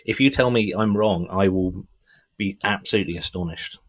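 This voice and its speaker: Deep husky man, enthusiastic. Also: moderate pace. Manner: enthusiastic, sweary fan talk. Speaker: music podcast host. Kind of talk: casual music chat.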